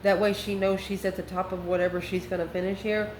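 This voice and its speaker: Female tarot channel host, mid-pitched voice, off-the-cuff and musing, recorded in a roomy space with faint noise. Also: little pitch movement, a little fast, a little mellow. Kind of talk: casual, improvised tarot reading.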